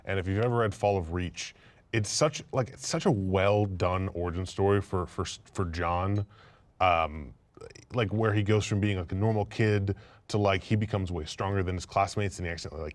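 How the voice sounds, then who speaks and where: A man's voice: sits low, brisk, no echo. A man talking informally as a panelist on a casual discussion show. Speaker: panelist on a video game and movie discussion show. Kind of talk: casual chat.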